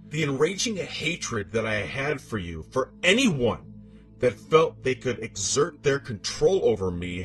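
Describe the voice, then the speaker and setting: Male actor as a scorned demoness ex-wife: proud, venomous, slow and wildly expressive, horror audio story over music. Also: mid-range voice. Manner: haughty, bitter, theatrical.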